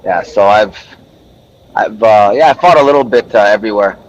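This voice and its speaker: A young man raised in Japan, an MMA fighter, chatting in a relaxed, friendly way with lots of fillers, sore from hard training. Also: lowish voice, moderate pace. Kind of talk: casual interview over a call. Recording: dull lo-fi audio with faint noise.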